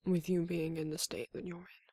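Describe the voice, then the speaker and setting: Someone voicing a breathy, whispering, tender vampire lover. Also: medium pitch, flat delivery, moderate pace, darkish tone, no room sound, clean studio sound, close to an everyday voice. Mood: gentle, coaxing. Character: tender, courtly vampire lover with hypnotic allure. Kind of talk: ASMR romance roleplay.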